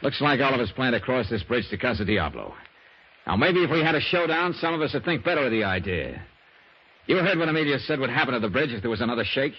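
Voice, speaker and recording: An actor playing a cool, unflappable tough hero, dry and commanding, in old-time radio drama style. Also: male voice, medium pitch, lively intonation, quick pace, slightly rough. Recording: muffled old radio broadcast, faint noise.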